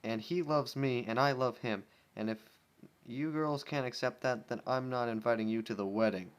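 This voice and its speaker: A man's voice: medium pitch, normal speed, clear, resonant tone, lightly acted. A man voicing a brash, lovestruck female pony, defensive and gleeful, in a cartoon fan story reading. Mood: earnest, defensive.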